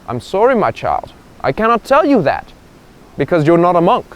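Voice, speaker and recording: A man voicing a kindly, pious, portly old monk with a gentle manner in a spoken joke story. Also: very high-pitched, sing-song, fairly quick, voice pushed up, rough recording. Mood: solemn. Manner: gentle, welcoming, solemn when refusing. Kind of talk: acted voice in an oral joke story.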